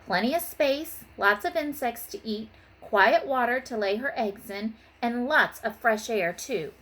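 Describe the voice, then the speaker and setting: A woman's voice: a little high, expressive, measured pace, bright, forceful tone, full character voice. A woman reading a storybook aloud as a cautious schoolboy who likes his comforts. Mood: observant. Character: Arnold, cautious homebody schoolboy.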